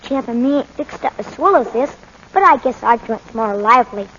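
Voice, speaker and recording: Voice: medium pitch, animated, normal speed, a bit bright, close to an everyday voice. A performer playing a streetwise, plain-spoken young boy with slangy speech in a 1940s radio drama. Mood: casual. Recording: muffled, noisy old 1940s radio recording.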